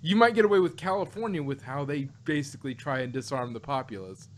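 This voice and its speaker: Male podcast host, slightly high register, casual rambling banter, light noise in the recording. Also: fast talker. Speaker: podcast host reviewing audio drama. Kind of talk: casual chat, joking banter.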